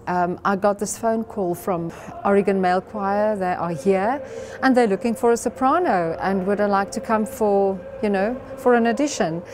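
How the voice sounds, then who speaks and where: A woman's voice: medium pitch, background noise. A middle-aged woman with an Afrikaans accent, a soprano, telling her story earnestly and reflectively, filmed in close-up outdoors by a brick wall. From South Africa. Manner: earnest, reflective, with light laughter. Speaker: soprano singer. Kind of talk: interview testimony.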